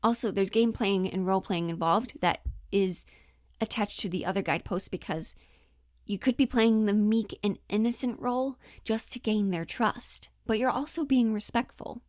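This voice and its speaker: A woman explains things as an acting coach in a casual lesson style. Her voice sits at an average pitch and she talks informally, thinking aloud. The recording is dry, with hardly any room sound. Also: moderate pace, a little mellow, no room sound.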